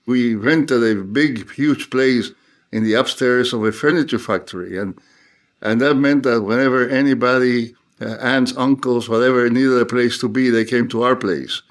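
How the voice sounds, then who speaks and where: An older man from Cuba, a theologian guest, talking in a measured, reflective way with frequent restarts. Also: mid-range voice. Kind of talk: unscripted interview talk.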